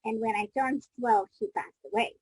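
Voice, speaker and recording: Middle-aged woman from Kenya, interview guest, husky, fairly high voice, reflective storytelling, dry close sound. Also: fairly even intonation, fairly quick, a little mellow, low-quality recording. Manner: reflective, restarts sentences mid-thought. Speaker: guest, business coach.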